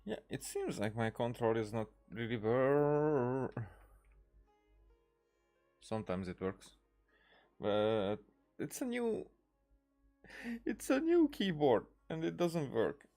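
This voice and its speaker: A man's voice: mid-range voice, big pitch swings, takes it slowly, long pauses, darkish tone, soft background music. A man doing casual let's-play commentary, reading game dialogue aloud in a hesitant way with many "uh"s and restarts.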